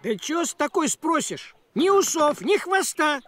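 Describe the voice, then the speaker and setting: A man's voice: very high voice, big pitch swings, a little slow, fairly bright tone, exaggerated voice. A man voicing a grumpy, thrifty, suspicious farm tomcat in a cartoon, with sardonic grumbling. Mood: dismissive. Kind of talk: animated cartoon acting.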